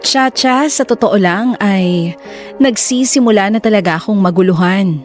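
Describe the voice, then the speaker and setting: A woman's voice: medium pitch, normal speed, lightly acted. A woman reading a confused lover's confessional letter as scripted first-person narration in a radio drama. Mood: confiding, troubled. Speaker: letter reader. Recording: music bed under the voice.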